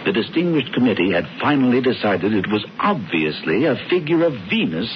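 A pompous, scheming brother, played by an actor, reads the news aloud with theatrical relish. His voice swings widely in pitch. The sound is a dull old radio broadcast with a faint hiss. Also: sing-song, a little fast, natural voice. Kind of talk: 1970s radio drama acting.

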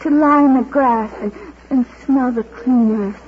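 A woman's voice: sits high, unhurried. An actress playing a timid, despairing young woman in a golden age radio drama, hesitant and pleading. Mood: wistful. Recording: muffled, noisy vintage radio broadcast.

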